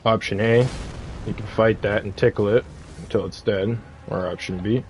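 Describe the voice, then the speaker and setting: Deep-voiced man, flat casual game commentary. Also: moderate pace, slight background noise. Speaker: game streamer and guide host. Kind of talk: casual gameplay walkthrough commentary.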